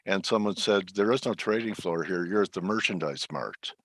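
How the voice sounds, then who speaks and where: A low-pitched man from Chicago hosts a trading interview over a video call. He talks in a chatty way, dropping short interjections. His voice sounds dry and close, with no room sound. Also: moderate pace, fairly bright tone, dry recording. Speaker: host, trading coach. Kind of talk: casual interview talk.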